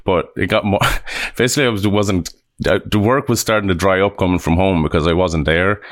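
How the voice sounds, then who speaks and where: A man's voice: low-pitched, steady tone, a little fast, no echo, studio quality. An Irish man in his thirties, a developer guest chatting casually in an interview, rambling with restarts and self-deprecating asides. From Ireland.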